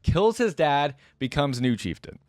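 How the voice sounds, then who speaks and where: A man's voice: a little high, very animated, a little slow, a little mellow, dry recording. A man hosting a reaction video, reading lore aloud and adding casual, conversational asides as he goes.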